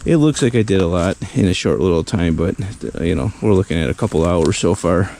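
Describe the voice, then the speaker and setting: Hoarse gravelly man detecting in snow. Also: deep voice, a little fast, darkish tone, a little hiss or hum. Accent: Western New York. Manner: rambling, enthusiastic about finds, many fillers. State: hoarse, losing his voice from a cold. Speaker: metal detecting vlogger. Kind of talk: casual narration while detecting outdoors. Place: outdoors in a snowy old park.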